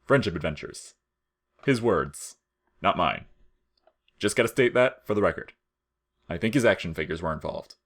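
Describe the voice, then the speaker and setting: A man's voice: sits low, expressive, normal speed, long pauses. A man voicing a lazy, grinning skeleton slacker from a game story, talking in casual slang with dry, teasing humor. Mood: dry, joking. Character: laid-back, wisecracking lazy male skeleton, grinning slacker.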